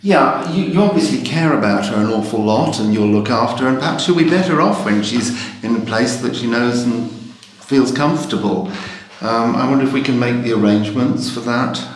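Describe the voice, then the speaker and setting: A man's voice: medium pitch, moderate pace, slight background noise, close to an everyday voice. A man playing a busy, apologetic hospital doctor in a training film, speaking politely and hesitantly. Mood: apologetic, flustered. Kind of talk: acted training film scene. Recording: echoey room, faint music.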